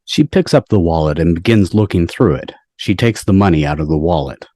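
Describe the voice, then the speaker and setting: A man's voice: low-pitched, animated, brisk, dark tone, no room sound. A man on a video call reading stage directions as narrator of an amateur table read.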